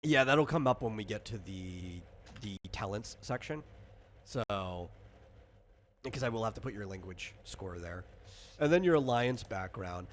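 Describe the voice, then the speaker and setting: A man's voice: fairly low pitch, expressive, a little slow, a little hiss or hum. A man casually walking a player through a tabletop game as game master, rambling, explanatory and freely opinionated.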